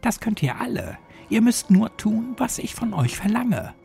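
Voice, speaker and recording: A menacing demonic girl, acted by a male narrator in a horror story. The voice is lifted high and swings in pitch, sly and persuasive, with a cold taunt in it. Music plays underneath. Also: very animated, unhurried, creaky, warm tone, heavily acted. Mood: sly promising.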